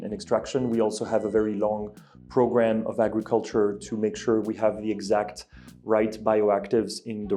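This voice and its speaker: A man's voice: lowish voice, warm tone. A man from Belgium, answering interview questions as a company executive, hesitant with many ums and restarts. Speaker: guest, ingredient company executive.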